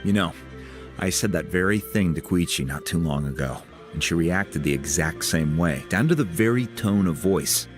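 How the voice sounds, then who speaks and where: A man playing an easygoing, gruffly kind fatherly mentor, measured and avuncular, in a video game. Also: low voice, animated. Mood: wry, caring. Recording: music under the voice.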